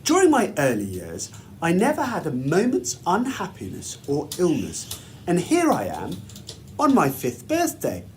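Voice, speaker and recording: A man reads a children's story aloud in a measured, deliberate, expressive way, like a headmaster at storytime. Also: a little high, a little hiss or hum, low-quality recording.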